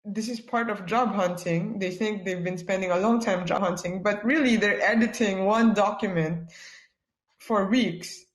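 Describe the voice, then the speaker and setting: A woman's voice: medium pitch, a little slow. A woman from the Philippines, giving a casual webinar talk as a guest speaker, chatty and full of "like" and "yeah" fillers. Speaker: guest speaker, product manager. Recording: echoey room.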